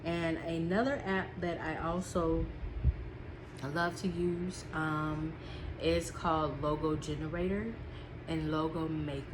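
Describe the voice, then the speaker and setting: Stuffy-nosed woman, low voice. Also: level tone, takes it slowly, background noise. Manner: chatty, with frequent "um" and repeats. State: stuffy, sniffling. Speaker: small business vlogger. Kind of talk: casual talk from notes.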